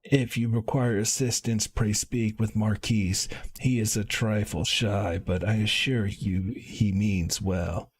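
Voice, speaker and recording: A man voicing a kindly, formal fatherly caretaker from a fantasy game, with halting, old-fashioned phrasing. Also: lowish voice, steady tone, husky, no room sound. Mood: grave, kindly.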